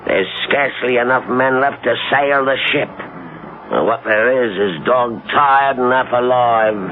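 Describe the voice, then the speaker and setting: A man's voice: mid-range voice, measured pace, phone-quality sound, natural voice. Weary seaman on old radio acted by a man. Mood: grave weariness. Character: weary, plain-spoken loyal seaman. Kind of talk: 1970s radio drama acting. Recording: faintly noisy, band-limited 1970s radio broadcast.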